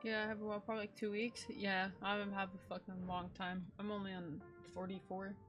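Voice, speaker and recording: A woman's voice: medium pitch, little pitch movement, brisk, dull audio, faint music behind. A young adult woman livestreaming a game, chatting and reacting casually with slang and some swearing. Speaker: game streamer.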